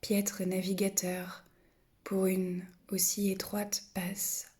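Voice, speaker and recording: A woman recites verse at a very slow pace. Her voice is soft and breathy, close to a whisper, with little rise and fall in pitch. The delivery is solemn and contemplative, each phrase measured and set apart by pauses. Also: monotone. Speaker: poetry reader. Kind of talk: solemn literary poetry recitation.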